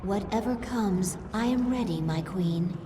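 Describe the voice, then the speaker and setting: A woman's voice: medium pitch, a little slow, natural voice. An actress playing a devoted alien broodmother in a video game, formal, solemn and battle-ready. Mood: solemn devotion. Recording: distant, noisy game ambience.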